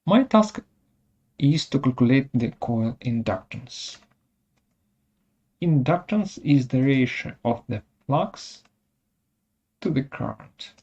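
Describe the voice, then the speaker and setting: A software webinar presenter of unclear sex talks through a demonstration very slowly, in short and halting pieces. The voice is dark and creaky, with a medium pitch. The audio is dull and lo-fi, with occasional mouse clicks. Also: lively intonation. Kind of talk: technical tutorial demonstration, narrated step by step.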